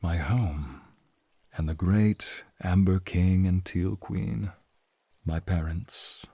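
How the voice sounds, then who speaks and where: A man playing a suave, courtly naga prince in an ASMR fantasy roleplay, speaking softly and breathily straight to the listener. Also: low-pitched, no echo, exaggerated voice. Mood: wistful, grieving. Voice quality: soft, breathy, husky. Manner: teasing, courtly, drawn-out phrasing. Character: flirty serpentine naga prince, suave, protective, wistful beneath.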